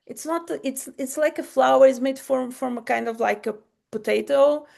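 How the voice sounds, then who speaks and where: A woman's voice: sits high, normal speed, gravelly, a little mellow. A woman hosting a podcast, chatting casually and rambling, laughing and cutting herself off. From Portugal. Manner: rambling, laughing, self-interrupting. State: short on sleep. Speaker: podcast host. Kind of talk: casual chat.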